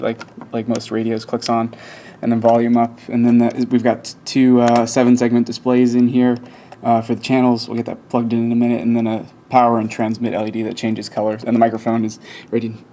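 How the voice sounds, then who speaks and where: A man's voice: mid-range voice, dark tone. A man giving a casual, unscripted walkthrough in an informal, hesitant way, as if thinking aloud. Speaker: hobbyist video presenter. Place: indoors at a workbench. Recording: light background noise with clicks.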